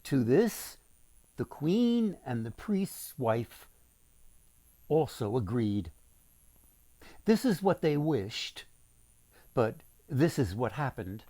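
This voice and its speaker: A man reading a folktale aloud as its author, with deliberate, dramatic phrasing for young listeners. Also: medium pitch, big pitch swings, warm tone, dry recording.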